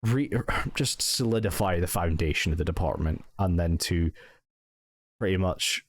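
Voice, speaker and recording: A man's voice: sits low, moderate pace, no echo, clean studio sound. A young Scottish man talking hesitantly and sincerely in a personal vlog update, full of restarts and thanks. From Scotland. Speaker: channel owner and roleplay group member.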